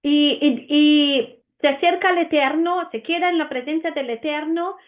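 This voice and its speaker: A woman with an Italian accent gives an earnest Bible lesson, often pausing with "eh". Her voice sits high. The sound is narrow and tinny, like a phone line. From Romania. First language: Romanian. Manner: earnest, with frequent "eh" fillers and restarts. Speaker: Bible teacher. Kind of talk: Bible teaching.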